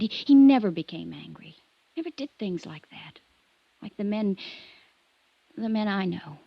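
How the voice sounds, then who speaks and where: A woman's voice: mid-range voice, gravelly, darkish tone, slightly rough, exaggerated voice. An actress playing a troubled, lovelorn young woman from a wealthy family in a 1950s radio drama, confiding wistfully and hesitantly. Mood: tender, wistful. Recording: muffled vintage radio recording.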